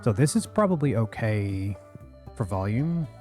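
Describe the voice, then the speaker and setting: A man streaming a farming game, chatting casually into a close boom-arm mic, rambling and apologetic, with a deep voice he keeps low. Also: big pitch swings, measured pace, darkish tone, faint music behind. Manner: rambling, self-correcting, apologetic, talks low. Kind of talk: casual live-stream chat while gaming. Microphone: studio mic on a boom arm, close to the face.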